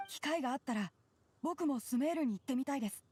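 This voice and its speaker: A woman's voice: high-pitched, expressive, racing, crowd noise. A woman voicing a polite, eager, modest boy surveyor in an anime-style game. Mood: earnest, eager. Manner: polite, earnest.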